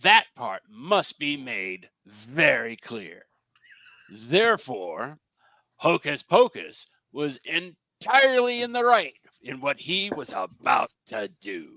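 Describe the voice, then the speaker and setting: A man's voice: medium pitch, huge pitch swings, takes it slowly, pushed and bright, low-quality recording. A man narrating a children's story in a live script reading.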